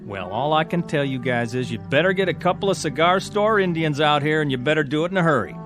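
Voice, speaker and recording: An actor playing a skeptical, wisecracking army corporal in a radio drama, with a wry, colloquial manner. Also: male voice, a little high, animated, fast talker, natural voice. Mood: sarcastic. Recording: music bed under radio drama dialogue.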